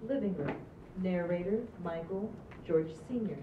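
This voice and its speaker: A woman's voice: fairly low pitch, normal speed. A woman narrating stage directions from a script at an amateur table read. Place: small indoor stage. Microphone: far camera. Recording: distant echoey muffled noisy camera audio.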